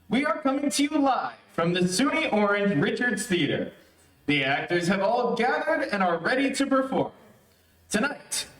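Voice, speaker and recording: High-pitched, animated male radio announcer, echoey. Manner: formal, declamatory. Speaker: host and announcer. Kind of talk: theatrical old-time radio announcing. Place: theater stage. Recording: echoey theater, distant mic, live stream.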